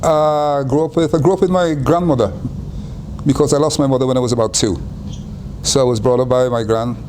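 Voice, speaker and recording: An older man from Guyana, telling his life story in an interview in an unhurried way, often repeating and restarting phrases. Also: mid-range voice, steady tone, a little mellow, a little hiss or hum.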